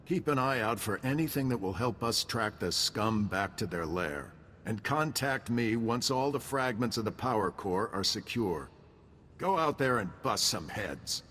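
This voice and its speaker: Casting: blunt, combative game admiral, mid-pitched and forceful, noticeable noise behind. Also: male voice, lively intonation, unhurried, big-room sound. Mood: gruff, determined. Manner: blunt, commanding, salty. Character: gruff, pugnacious male military admiral. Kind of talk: video game voice acting.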